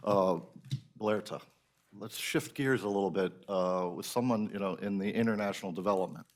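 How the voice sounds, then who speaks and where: A man's voice: on the low side, normal speed. A man moderating a panel discussion, speaking hesitantly with many fillers.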